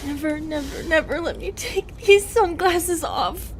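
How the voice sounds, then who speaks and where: A woman playing an anguished, remorseful female medusa in an intimate audio roleplay, tender and fragile, with a halting, emotional, pleading delivery. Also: very high-pitched, a little hiss or hum. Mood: pleading, tearful.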